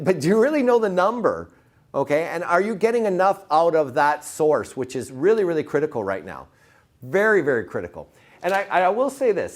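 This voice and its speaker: A man giving an informal motivational sales presentation, repeating words for emphasis and putting questions to his listeners. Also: a little high. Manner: informal, punchy, asks the audience questions. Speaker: sales trainer presenting to real estate agents.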